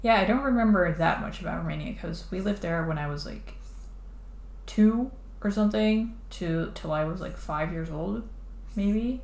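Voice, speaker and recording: Low-voiced woman chatting while drawing. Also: normal speed, gravelly, darkish tone. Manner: rambling, lots of "like" and restarts. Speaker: streamer drawing a comic. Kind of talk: casual chat while drawing. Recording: echoey room, faint background noise.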